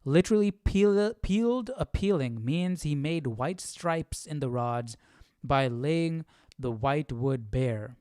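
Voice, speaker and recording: A man hosting a casual live Bible study, reading aloud and thinking out loud in an informal, slangy way. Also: a little high, expressive, slow, clean tone, no echo, clean studio sound.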